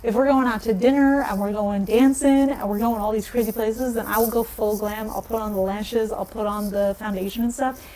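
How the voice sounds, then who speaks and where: A woman's voice: fairly even intonation, brisk, warm tone, low-quality recording. A young woman chatting casually on a livestream, laughing often and answering viewer comments. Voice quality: low voice. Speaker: nail polish vlogger.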